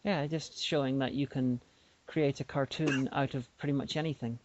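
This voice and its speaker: A woman's voice: a bass voice, steady tone, normal speed, a little mellow. A woman co-hosting a podcast, playful and rambling, often restarting her sentences in casual chat. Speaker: podcast co-host. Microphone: remote call microphone. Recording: dull lo-fi online call audio.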